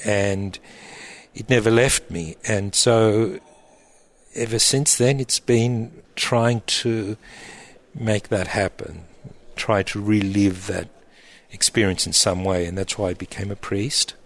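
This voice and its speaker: A middle-aged man, an abbot speaking in an interview in a thoughtful, halting way with frequent restarts. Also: fairly low pitch, fairly even intonation. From Egypt, raised in Australia. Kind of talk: reflective interview answers.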